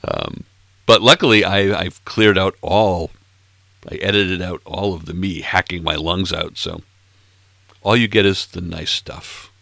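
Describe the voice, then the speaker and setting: A man in his late sixties hosting a music podcast in casual chat, a little hoarse, with playful self-mocking asides. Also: sits low, unhurried, pushed and bright, no echo. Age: 60s. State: slightly hoarse, froggy throat. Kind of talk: casual chat between tracks.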